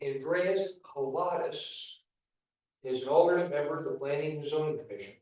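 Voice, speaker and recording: A man chairs a small town meeting, running through motions and the agenda in a brisk, procedural way. His voice sits a little high and comes from far off in a very echoey room. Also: a little mellow. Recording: echoey room, distant mic.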